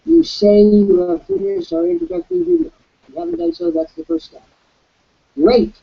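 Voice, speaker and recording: A man hosting a live online webcast, with a croaky voice, giving an emphatic, preacherly sales pitch. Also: steady tone, normal speed, dull audio. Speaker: webcast host.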